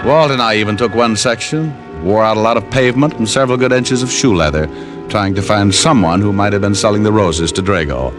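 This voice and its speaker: A man's voice: lowish voice, fairly quick, over music, lightly acted. A man acting a laconic, world-weary American private detective in a 1950s radio drama. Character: laconic hardboiled male private detective narrating his case.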